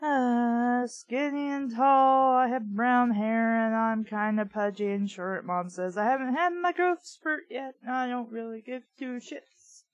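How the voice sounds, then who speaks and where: A woman's voice: a little high, unhurried, hardly any pauses, no room sound, highs cut off, soft background music. A woman reading a book aloud casually, breaking off into snarky, rambling, conversational asides. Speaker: host reading a children's book aloud with commentary.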